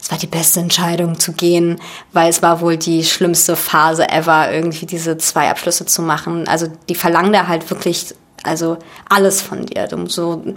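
A woman in her twenties from Germany, a guest chatting casually with many fillers and restarts. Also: on the low side. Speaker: actress and guest. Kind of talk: casual chat.

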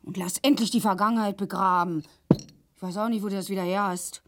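An actress playing a nagging, put-upon East Berlin wife in middle age, scolding and needling in a grotesque German radio play. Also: female voice, mid-range voice, fairly even intonation, fairly quick, noisy background, lightly acted. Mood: reproachful.

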